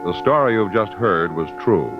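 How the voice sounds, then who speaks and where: Animated low-voiced American radio announcer, male. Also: moderate pace, over loud music. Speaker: radio announcer and sponsor spokesman. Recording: muffled old radio broadcast.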